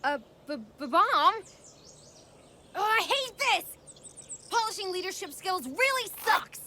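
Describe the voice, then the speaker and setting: A woman's voice: very high voice, very animated, measured pace, very noisy, heavily acted. A woman voicing a bratty young female mosquito villain in a cartoon, sarcastic, haughty and whining. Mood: whining annoyance. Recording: loud music behind.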